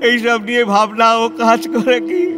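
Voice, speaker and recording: Man acting slow, emotive elderly Bengali reformer. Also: fairly high pitch, music in the background, close to an everyday voice. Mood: anguished, tearful. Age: older. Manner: slow, emotive, halting with pauses. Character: elderly Bengali scholar-reformer, lonely, compassionate, self-questioning. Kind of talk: theatrical audio-play monologue and dialogue.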